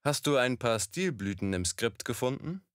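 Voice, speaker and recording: A man's voice: medium pitch, lively intonation, normal speed, no echo. Male interviewer with a dry sound. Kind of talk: short off-camera interview questions.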